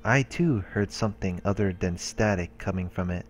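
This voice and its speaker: A man hosting a let's play, reading a visual novel's narration aloud in a calm, measured way. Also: low-pitched, slight background noise. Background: game music and voices.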